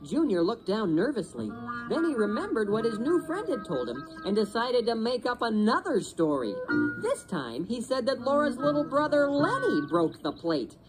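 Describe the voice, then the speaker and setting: High, expressive woman storyteller over music. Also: moderate pace, low-quality recording. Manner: expressive, suspenseful. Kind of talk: dramatic children's story narration.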